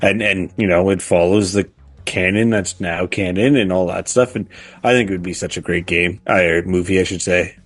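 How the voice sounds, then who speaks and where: A man with a deep voice co-hosts a casual gaming podcast. He talks in a halting, hesitant manner, piecing his thoughts together as he goes. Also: fairly even intonation, husky. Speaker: podcast co-host. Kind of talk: casual chat about video games.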